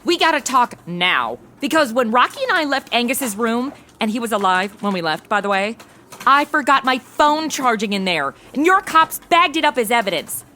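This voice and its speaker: Brash modern American woman, animated pitch, forward tone, slangy, blunt, audio comedy. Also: moderate pace, a little hiss or hum. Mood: flippant, impatient. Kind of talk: comedy audio drama acting.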